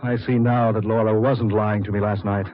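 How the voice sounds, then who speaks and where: An actor in a 1940s radio drama playing a vengeful man back from the dead, deliberate and ominous. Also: fairly low pitch, level tone, natural voice. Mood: coldly menacing. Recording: dull 1940s radio recording.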